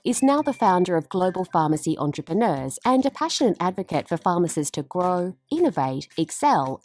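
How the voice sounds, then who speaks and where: Woman, announcer, scripted intro, lowish voice, lively pitch.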